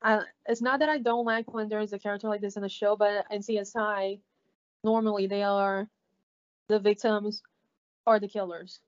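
A woman with a Brazilian Portuguese accent, co-hosting a podcast in a halting, casual way, with many fillers and restarts. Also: medium pitch, little pitch movement. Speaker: podcast co-host. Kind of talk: casual recap chat. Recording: slightly dull lo-fi audio.

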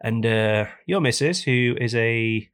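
A man hosting a casual podcast, hoarse and raspy from a cold, ranting jokily about things that annoy him. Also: mid-range voice, slow pace, a bit bright. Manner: jokey, complaining rants. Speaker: podcast host.

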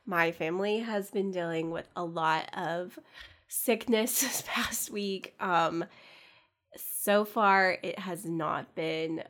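A young woman chats her way through a solo podcast at an unhurried pace, her voice on the lower side. She sounds stuffy and congested from a cold. She rambles easily, filling pauses with fillers and soft laughs. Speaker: podcast host. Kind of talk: casual solo podcast chat.

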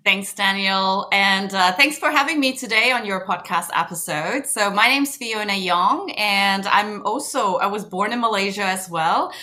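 A woman's voice: medium pitch, unhurried, hardly any pauses, bright, forceful tone. A woman in her thirties from Germany, a podcast guest introducing herself in a candid, articulate, conversational way.

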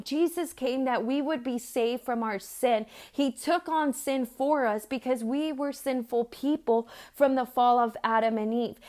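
An earnest woman in her thirties or forties from Mexico preaches in a loose, conversational way. Her voice sits a bit high for a woman, and she stops to restart her sentences and fills gaps with little words. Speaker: church speaker. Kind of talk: sermon with personal stories.

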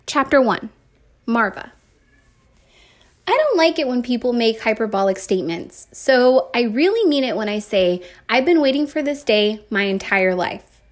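A woman with a somewhat high voice narrates a first-person story. She reads expressively, like a teacher, with deliberate emphasis on key phrases. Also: sing-song. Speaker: teacher reading a book aloud.

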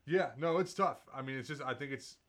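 A man's voice: mid-range voice, brisk, fairly bright tone. A man, co-hosting a casual sports podcast with joking, hyped-up banter. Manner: joking, hype. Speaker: podcast co-host, audio engineer.

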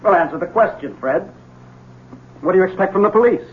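An actor playing a desperate, bitter husband in a 1970s radio drama, with a halting, overwrought delivery. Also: male voice, high-pitched, lively intonation. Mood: bitter, defiant. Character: desperate, bitter husband threatening murder with a gun. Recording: thin phone-like 1970s radio recording, faint hum.

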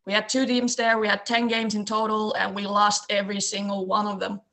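A woman in her twenties from Eastern Finland with a Finnish accent, telling stories as a guest on a podcast, relaxed and full of fillers. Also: mid-range voice, fairly quick. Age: 20s. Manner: relaxed storytelling with many fillers. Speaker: guest, basketball coach. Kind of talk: casual podcast interview.

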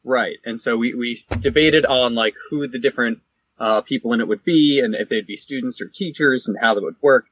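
Slightly rough-edged man, husky. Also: medium pitch, brisk, a bit bright, dull audio. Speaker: podcast host. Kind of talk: casual chat.

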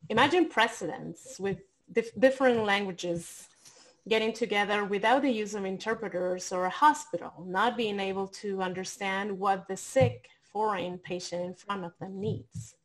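A woman's voice: medium pitch, normal speed, poor audio. A woman with a mid-range voice co-hosts an interview podcast. She welcomes the guest in a friendly way and asks him questions directly. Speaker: podcast co-host. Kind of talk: casual interview hosting.

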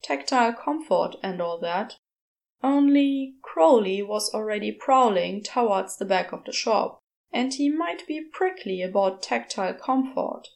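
Slow, higher-pitched woman narrating fiction. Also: creaky, darkish tone. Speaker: podfic reader.

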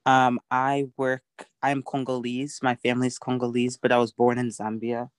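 A young man from the United States introduces himself as a podcast guest over a video call. He speaks earnestly in a flat, level voice with many small fillers. The sound is dry and close. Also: medium pitch, normal speed, clean tone, dry recording. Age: young adult. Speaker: guest, youth activist.

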